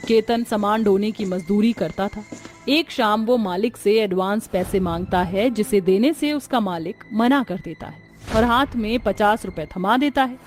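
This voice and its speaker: A woman narrating a scripted family story as storyteller. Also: on the high side.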